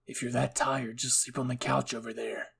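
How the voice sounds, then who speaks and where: A man's voice: medium pitch, level tone, moderate pace, creaky, a bit bright, slightly rough, exaggerated voice. A man voicing a stern, grumpy military captain with curt, cold replies in an audio fan fiction story.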